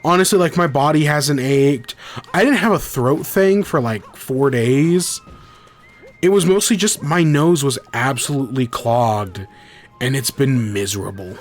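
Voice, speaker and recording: A young man hosting a gaming podcast in a casual, rambling way, congested from a cold with a scratchy throat. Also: mid-range voice. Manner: rambling, self-correcting. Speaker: podcast host. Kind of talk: casual livestream chat.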